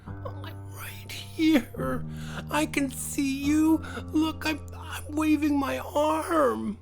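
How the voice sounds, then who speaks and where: A small furry storybook creature, begging in a very high, soft voice. It drags out its words slowly, childlike and full of sad longing. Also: male voice, steady tone, creaky, soft background music, heavily acted. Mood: pitiful pleading. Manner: drawn-out, sad, desperate pleading.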